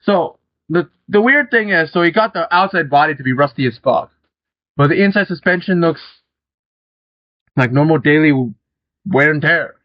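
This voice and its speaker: A young man with a slightly high voice talks his way through a car-building game, loose and rambling, with casual swearing thrown in. The sound is dry and close, with a somewhat dull, muffled tone. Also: animated, moderate pace, long pauses, dry recording. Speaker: gaming channel host. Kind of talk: casual gameplay commentary.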